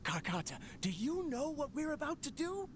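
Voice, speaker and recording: A man's voice: very high-pitched, sing-song, normal speed, heavy background noise. A man voicing a young expelled researcher, ambitious and lonely, who muses aloud to his mechanical pet on a tape recording. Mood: eager. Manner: eager, musing, talking to his machine. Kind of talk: video game voice acting.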